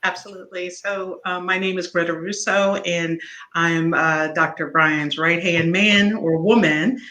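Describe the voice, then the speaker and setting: A woman co-host chats casually on a live stream, her bright voice ringing in a roomy, echoey space. She talks in a relaxed way and leans on fillers like "you know.". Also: lowish voice, a little slow. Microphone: video call. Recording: echoey room.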